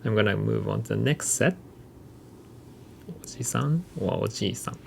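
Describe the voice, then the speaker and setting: A man's voice: on the low side, brisk, gravelly, a little hiss or hum. A man teaching a recorded mini-lecture, carefully demonstrating pronunciation with repeated example words. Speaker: language instructor.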